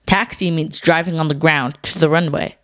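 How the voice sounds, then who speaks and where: A woman with a low voice co-hosts a scripted educational podcast for children. She explains things in clear, simple terms, and the sound is very dry, with little room in it. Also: steady tone, normal speed, a bit bright, no room sound. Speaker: podcast co-host.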